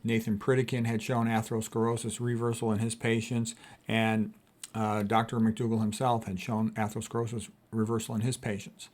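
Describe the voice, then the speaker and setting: A man's voice: fairly low pitch, monotone, a little fast, a little mellow. A man, a physician, explains points in an informal lecture, with an emphatic, opinionated manner and plain repetition. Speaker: physician presenting health lecture, author. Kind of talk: informal explanatory lecture reading and commenting on quotes.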